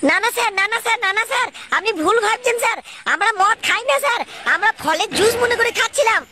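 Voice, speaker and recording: Very squeaky cartoon tattletale. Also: female voice, fast, noisy background. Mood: wheedling. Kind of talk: comic cartoon parody dubbing. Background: music.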